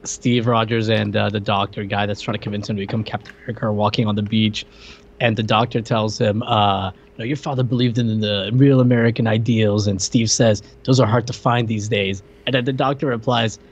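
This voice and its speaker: Man, podcast co-host, animated recaps, muffled lo-fi audio. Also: on the low side, a little fast. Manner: animated retelling, quoting scenes. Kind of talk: casual chat.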